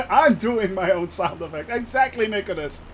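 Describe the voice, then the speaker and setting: Dutch-accented man, high voice, chatty streamer, laughs a lot, faint background noise. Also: expressive, normal speed, a bit bright. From Netherlands. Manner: chatty, laughs often, replies to chat. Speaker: flight sim streamer. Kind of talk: casual live-stream commentary.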